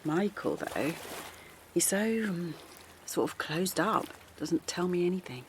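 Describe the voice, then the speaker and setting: A kindly middle-aged mother who chats easily and reassures, with a quiet undercurrent of worry. Her voice sits a little low, with a faint outdoor hum behind her in this audio drama. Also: expressive, measured pace. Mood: warm reassuring. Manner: reassuring, chatty, quietly worried. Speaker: campaigner. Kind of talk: naturalistic audio drama acting. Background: faint outdoor seaside ambience.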